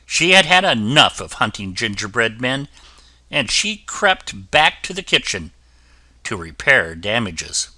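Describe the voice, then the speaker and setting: A man reading a children's fairy tale aloud as the storyteller, with an expressive delivery. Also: mid-range voice, measured pace, no echo.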